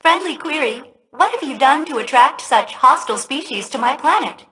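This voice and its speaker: A woman's voice: high-pitched, lively intonation, normal speed, background noise. A woman voicing a perky, polite spaceship AI in a video game. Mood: cheerful, brisk. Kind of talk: scripted video game voice acting.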